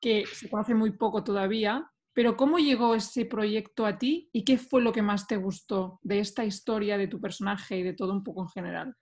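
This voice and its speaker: A woman's voice: mid-range voice, normal speed, few pauses, darkish tone. A woman with a Spanish accent from Spain, asking long conversational questions as an interviewer.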